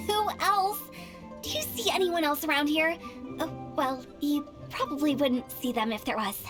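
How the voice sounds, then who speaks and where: A woman's voice: sits high, unhurried. A woman voicing a mischievous young female funeral director in a video game, cheeky and salesy, with spooky asides. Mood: playful. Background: game music.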